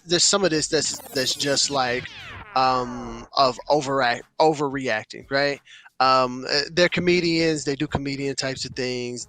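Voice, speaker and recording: A man co-hosting a casual podcast, talking through his views in a measured way with frequent fillers. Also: medium pitch, bright, forceful tone, dry recording. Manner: measured, thinking aloud with many fillers. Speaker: podcast co-host.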